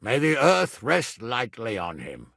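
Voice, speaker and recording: An actor playing a blustering, irascible middle-aged medieval nobleman in a video game, with a gravelly, raspy voice and a gruff, commanding manner. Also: medium pitch, huge pitch swings, a little slow, fairly bright tone, no room sound, clean studio sound, heavily acted. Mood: grave, matter-of-fact. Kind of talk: dramatic video game character dialogue.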